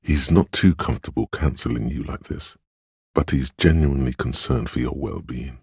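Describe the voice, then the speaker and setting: An extremely deep, heavily husky male inner voice speaks straight into a troubled detective's head. The delivery is measured, intimate and knowing, like scripted game narration, recorded dry and close. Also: a little mellow, no room sound, heavily acted. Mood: gentle, perceptive.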